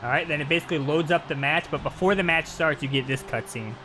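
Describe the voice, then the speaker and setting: A man's voice: fairly high pitch, quick pace, bright, forceful tone, soft background music, poor audio. A man giving casual, playful live commentary on a video game. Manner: playful, hams up cutscene dialogue.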